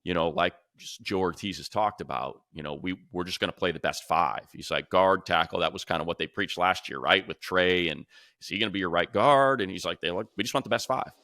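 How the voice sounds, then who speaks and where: A man's voice: sits low, a little fast, sharp tone, dry recording, studio quality. An American man co-hosting a sports podcast, talking casually and emphatically in a conversational way. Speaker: sports podcast co-host and commentator.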